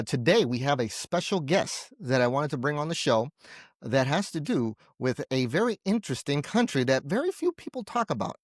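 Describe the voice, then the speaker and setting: A man with a somewhat high voice speaks as the host of an interview show. His delivery is conversational and a little rambling, with wordy phrasing. The sound is dry, with very little room in it. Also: no echo.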